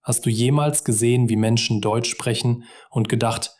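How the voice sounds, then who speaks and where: A man's voice: medium pitch, fairly even intonation, normal speed, a little mellow. A man narrating a simple story for German learners, speaking directly and encouragingly to the listener.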